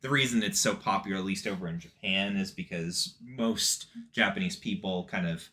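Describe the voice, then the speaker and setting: Man, very low pitch, bright edge, agreeable, casual co-host. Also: normal speed. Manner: agreeable, frequent short affirmations. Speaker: podcast co-host. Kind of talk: casual anime discussion.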